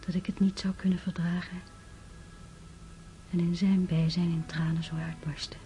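An actress playing a gentle, grieving sister in a literary radio drama, speaking in a measured, solemn, restrained way. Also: female voice, fairly low pitch, little pitch movement, lightly acted. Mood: sorrowful, reflective. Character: Maria, grieving gentle sister of a dying man.

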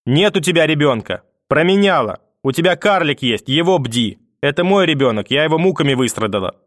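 A man's voice: a little high, animated, fairly quick, a bit bright, no room sound, clean studio sound, voice pushed up. A man voicing a spiteful, domineering old grandmother in an audiobook, scolding and cursing with sarcasm. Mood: bitter, sarcastic. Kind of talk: audiobook character acting.

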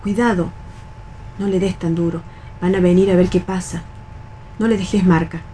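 An actress playing a resigned, bossy veteran factory worker in a radio play, with an Argentine accent and a colloquial, admonishing way of talking. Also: female voice, on the low side, fairly even intonation, normal speed, warm tone, slight background noise, close to an everyday voice. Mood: guarded, scolding. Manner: colloquial, admonishing, world-weary. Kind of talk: radio read theatre.

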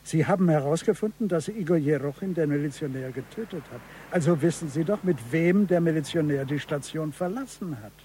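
A man plays a general under questioning in a radio play. His voice is fairly high for a man and mellow, his answers formal and guarded, over a faint hum. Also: brisk, few pauses, lightly acted. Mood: evasive, formal. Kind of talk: German radio crime drama acting.

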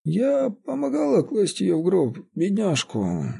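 Male reader as old female caretaker for an audiobook, high and creaky, plaintive, whining, slow to let words go. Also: wildly swooping pitch, mellow, exaggerated voice. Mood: tearful, gossipy. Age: elderly. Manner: whining, tearful, drawn-out gossiping. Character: plaintive half-deaf old woman caretaker. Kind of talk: audiobook character voice.

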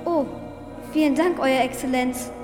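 High-voiced, polite young boy. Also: unhurried, music in the background. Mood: grateful. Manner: respectful, eager. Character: polite, eager young choirboy serving the bishop. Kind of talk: German radio drama acting. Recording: echoey, long-reverb hall.

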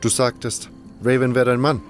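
A man's voice: medium pitch, little pitch movement, moderate pace, soft background music, lightly acted. A man playing a curious, easily impressed newcomer prisoner in a fantasy audio drama. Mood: curious, sceptical.

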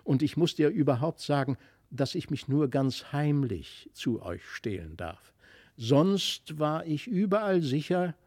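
A man voicing a gentle, wistful otherworldly child in a storybook, speaking softly and earnestly. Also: on the high side, lively intonation, measured pace. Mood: hushed, earnest.

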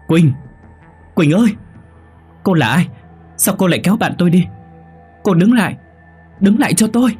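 A man voicing a sick, frightened young female student in a horror story, with urgent, halting, tearful pleading. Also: medium pitch, a little mellow, breathy edge, faint music behind, natural voice. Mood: desperate shouting.

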